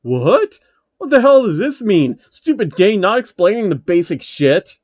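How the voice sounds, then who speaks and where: A hot-headed, cocky male game streamer from a fan story, acted out by a male narrator. He whines indignantly in a raised, very animated voice. The recording is dry and slightly dull. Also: high voice, measured pace, no echo, voice pushed up, exaggerated voice. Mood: outraged. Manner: complaining, indignant outbursts.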